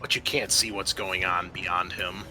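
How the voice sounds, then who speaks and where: A man's voice: low voice, steady tone, unhurried, sharp tone, faint music behind, rough recording. A man acting as game master, casually narrating a tabletop roleplaying game and explaining rules in informal, sweary talk.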